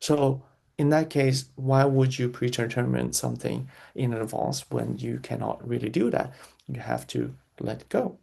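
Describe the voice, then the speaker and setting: A man's voice: mid-range voice, a little slow, vocal fry, warm tone. A man speaking as an interview guest, giving earnest, reflective answers, repeating key phrases and often restarting his sentences.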